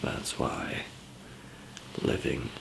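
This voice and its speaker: A man doing a whispered ASMR roleplay as a calm apocalypse survivor, murmuring in a hesitant, halting way. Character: calm zombie apocalypse survivor handing out supplies.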